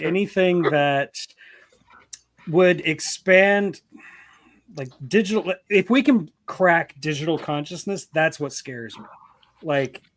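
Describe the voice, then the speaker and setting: A man with a slightly raised pitch talks through his worries in a loose, earnest way, peppering his thoughts with fillers. His voice is close and dry, with no room sound around it. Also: no echo. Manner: earnest, thinks aloud with many "like" fillers. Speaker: author and stream regular. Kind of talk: casual group chat.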